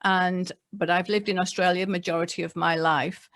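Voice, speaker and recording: Measured English-born woman reading from notes. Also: on the low side, steady tone, fairly bright tone. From England. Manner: measured, reads figures from notes with small slips. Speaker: local government leader. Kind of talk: prepared webinar address read from notes. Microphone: computer mic on a video call.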